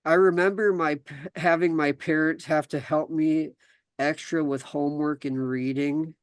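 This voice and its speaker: A man's voice: fairly high pitch, steady tone, normal speed, clear, resonant tone, dry recording. A young man from South Dakota hosting a podcast, talking casually with many fillers and restarts, then reading his own story aloud. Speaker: podcast host.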